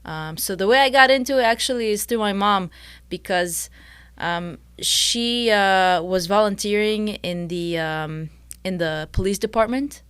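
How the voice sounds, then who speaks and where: A young woman from Israel, a fighter guest answering interview questions thoughtfully, pausing often. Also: mid-range voice, a bit bright, clear, resonant tone, no echo. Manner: thoughtful, with frequent pauses and fillers.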